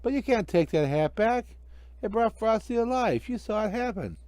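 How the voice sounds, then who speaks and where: Man imitating young schoolkids, eager, innocent, pleading, raised pitch, lively swoops, storybook. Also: normal speed, no room sound. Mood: protesting.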